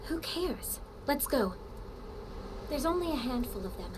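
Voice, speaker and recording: A woman's voice: high-pitched, very animated, unhurried, background noise. A woman voicing a composed, self-assured young squad leader in a video game, cool and probing. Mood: confident.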